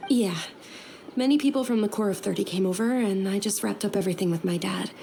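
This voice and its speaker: A woman playing a gentle, polite young noblewoman in a video game, earnest and considerate. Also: mid-range voice, fast, gravelly, a little hiss or hum, natural voice. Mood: eager, concerned.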